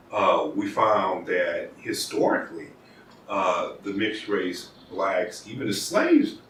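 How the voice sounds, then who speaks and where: American man, law professor in an interview, lower voice, thoughtful, restarts often, roomy echo, set back from the mic, faint room hiss. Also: fairly bright tone. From United States. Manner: thoughtful, with frequent restarts and repeated words. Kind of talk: conversational interview. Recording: echoey room, mic at a distance.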